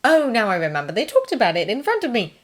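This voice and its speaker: Female reader playing young well-bred nurse: artless, curious, frank. Higher pitch, very animated, audiobook. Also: quick pace. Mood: blithe, frank. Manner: artless, forthright. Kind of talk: audiobook character dialogue.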